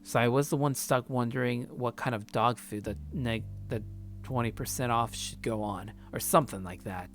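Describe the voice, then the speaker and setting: A man with a medium-pitched voice reads visual novel narration aloud as a let's play host. Background music runs under his voice. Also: moderate pace.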